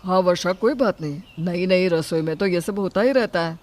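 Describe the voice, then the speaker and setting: A man's voice: sits high, moderate pace, lightly acted. A man playing a young, food-loving husband who complains but cares, in an acted moral story. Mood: reassuring.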